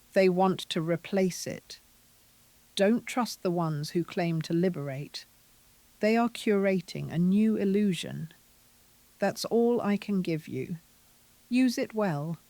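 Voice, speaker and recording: A woman playing a cryptic, grave anonymous informant, delivering deliberate warnings in an audio drama. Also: on the low side, dark tone, no echo. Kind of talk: scripted audio drama monologue.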